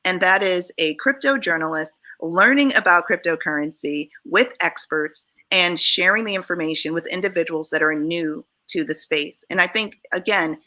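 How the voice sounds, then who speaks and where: Low bright-voiced Jamaican-born woman. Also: normal speed, few pauses, poor audio. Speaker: panel speaker. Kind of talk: panel discussion.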